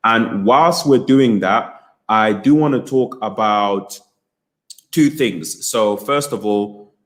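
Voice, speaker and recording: Brief: young British man, lowish voice, chatty livestream host, upbeat and encouraging. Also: takes it slowly. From United Kingdom. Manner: chatty, encouraging, repeats phrases, frequent fillers. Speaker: business coach hosting a livestream. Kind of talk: casual livestream talk to chat.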